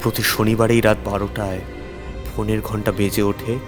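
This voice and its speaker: A man narrating a first-person horror story theatrically, with suspenseful pauses and repeated words. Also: fairly low pitch, flat delivery, music in the background.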